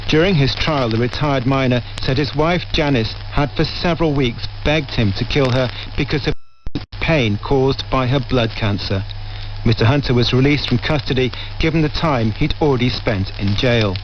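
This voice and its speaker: A male reporter reads a scripted report in a medium-pitched voice. It comes through dull and noisy, as on shortwave radio. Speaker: news reporter.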